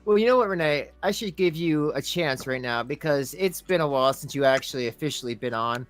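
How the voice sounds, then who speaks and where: A man's voice: a little high, lively intonation, fairly quick, faint music behind. A man hosting a casual live reaction stream, mocking and laughing often.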